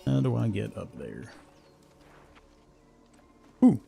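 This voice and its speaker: A man's voice: on the low side, very animated, takes it slowly, creaky, warm tone, faint music behind. A man giving casual solo commentary as a game streamer, musing and thinking aloud to himself.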